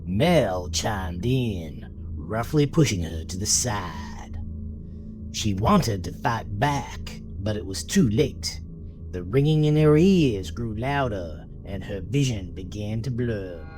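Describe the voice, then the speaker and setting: A man's voice: on the low side, lively intonation, takes it slowly. A man narrating a scripted story in a dramatic style, as the narrator of an audio drama. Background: music behind the voice.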